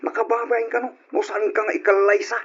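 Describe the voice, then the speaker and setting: An actor playing a hot-tempered, lazy, abusive young husband in an old radio drama, gruff and exclamatory, on a lo-fi recording. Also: male voice, extremely high pitch, little pitch movement, fairly quick. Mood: indignant, pleading.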